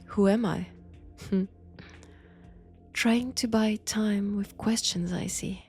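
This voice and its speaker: A woman playing a mad, possessive young vampire in a roleplay audio, with a French accent and a slow, hushed, intimate delivery that often slips into whispers. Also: mid-range voice, steady tone, faint music behind, natural voice. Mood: teasing, secretive.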